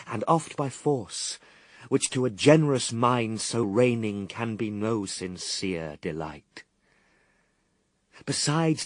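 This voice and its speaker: A British actor playing a solemn, steadfast Son of God in a classical verse radio drama, speaking in measured, earnest declamation. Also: male voice, on the low side, dry recording. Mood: grave, reflective.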